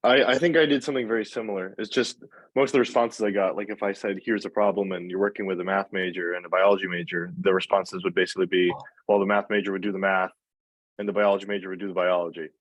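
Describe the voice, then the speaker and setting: A man's voice: low voice, fairly even intonation, fast talker, no echo. A man talking casually and a little hesitantly as he shares his experience in a meeting. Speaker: interviewer in training meeting. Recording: dull, dry lo-fi meeting audio.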